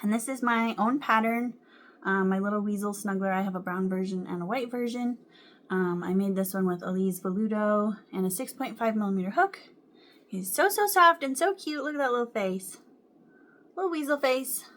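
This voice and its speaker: A young woman talks at home in an easy, chatty way about what she has been crocheting. Her speech is loose and conversational, full of ums and little laughs, with a voice of ordinary middle pitch. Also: moderate pace, a bit bright. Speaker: crochet vlogger. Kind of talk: casual chat to camera.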